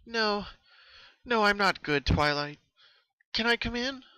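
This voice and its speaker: A man's voice: high-pitched, expressive, takes it slowly, sharp tone, smooth, no echo, voice pushed up, full character voice, poor audio. A man voicing a distraught, exhausted young female cartoon pony in a quivering, pleading, dramatic storybook reading. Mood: weary, distressed.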